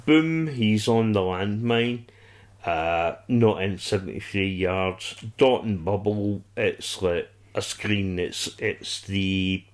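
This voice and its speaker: A man's voice: lowish voice, slow pace. A man co-hosting a podcast, giving insistent opinions in a casual discussion of a television series. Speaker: podcast co-host.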